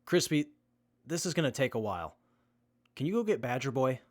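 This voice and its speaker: Man as an earnest young techie survivor, mid-pitched. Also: sing-song, a little hiss or hum, natural voice. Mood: methodical. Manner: measured, methodical, earnest. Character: earnest, capable young male tech-savvy survivor. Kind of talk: post-apocalyptic audio drama acting.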